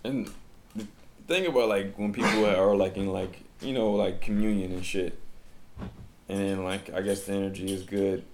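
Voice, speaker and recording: A man's voice: low-pitched, fairly even intonation, normal speed, noisy background. A man in a casual podcast chat, blunt and streetwise, heavy on slang and swearing.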